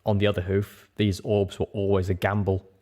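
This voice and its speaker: A man reading a story aloud as narrator, in an expressive scripted style. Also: sits low, moderate pace. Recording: roomy, clearly noisy home recording.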